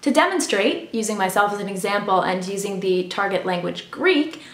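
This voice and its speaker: A woman's voice: medium pitch, animated, moderate pace. A woman vlogger with English as her first language, giving a formal, precise talk on language learning to her viewers. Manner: formal, precise, academic wording. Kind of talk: scripted explanatory talk to camera. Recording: echoey room.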